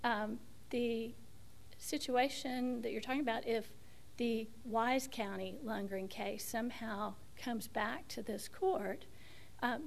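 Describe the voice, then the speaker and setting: A woman attorney making an appellate oral argument while she is losing her voice, speaking in a measured, hesitant way with frequent restarts. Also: a little high. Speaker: attorney arguing an appeal. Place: courtroom.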